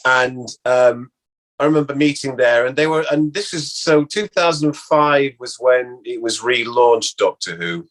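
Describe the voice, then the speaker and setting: A man chatting casually as an interview guest, reminiscing and thinking aloud in a halting way. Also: mid-range voice, fairly bright tone, poor audio.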